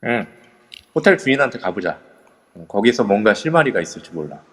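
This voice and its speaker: A man's voice: lowish voice, sing-song, fairly quick, a bit bright, in a large hall. An actor playing an anxious but determined young man in an audio drama, speaking with tense urgency. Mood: uneasy. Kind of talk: amateur audio drama acting.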